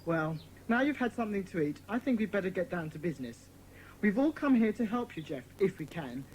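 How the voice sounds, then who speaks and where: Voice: medium pitch, big pitch swings, a little fast, a little mellow, a little hiss or hum, natural voice, rough recording. An actor playing a bossy but kindly well-spoken British boy who leads a children's secret club in a dramatised audiobook. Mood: bossy, reassuring.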